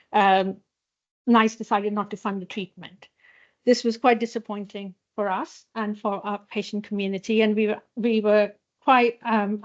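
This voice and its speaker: A woman from a patient charity presents to a hospital audience. She stops and restarts often and fills her pauses with ums and you-knows. Her voice sits at a middle pitch, and the recording sounds muffled and dull. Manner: hesitant, many fillers and restarts. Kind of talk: conference presentation. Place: hospital lecture hall.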